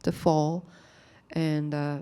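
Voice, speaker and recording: Woman artist explaining her work in a gallery, halting english, low-ish voice, lots of "you know". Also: level tone, dark tone, clean tone. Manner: halting, plain non-native English with many "you know" fillers. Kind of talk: gallery talk about her art. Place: gallery, speaking to an audience.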